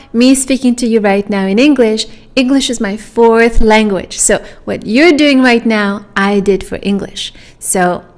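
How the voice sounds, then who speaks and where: A native French-speaking woman tutoring pronunciation, drilling sounds one at a time and checking in with "okay?". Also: mid-range voice. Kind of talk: pronunciation lesson.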